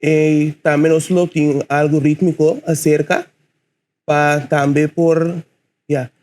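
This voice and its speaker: A man's voice: a little high, level tone, slow pace, a little mellow. A man in his twenties, a poet guest on a radio show, answering hesitantly and searching for words. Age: 20s. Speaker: spoken word poet guest. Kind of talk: casual interview answers.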